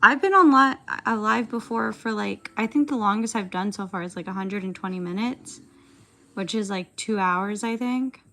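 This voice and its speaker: Raspy young woman chatting while drawing. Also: medium pitch, moderate pace, sharp tone, slight background noise. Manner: rambling, many fillers. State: dry, strained throat. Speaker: digital artist streamer. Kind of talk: casual chat while drawing.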